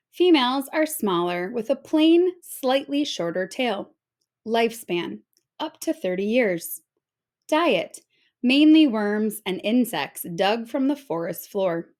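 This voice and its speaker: Slow, lively high-voiced woman reading aloud. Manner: expressive, clearly phrased storytelling for children. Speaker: teacher reading a children's book aloud. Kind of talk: read-aloud of an informational children's book.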